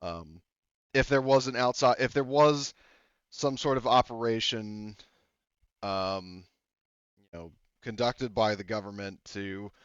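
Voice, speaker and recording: A man voicing a calm, composed male governor with a level, measured way of talking. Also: on the low side, clear, resonant tone, no room sound, highs cut off.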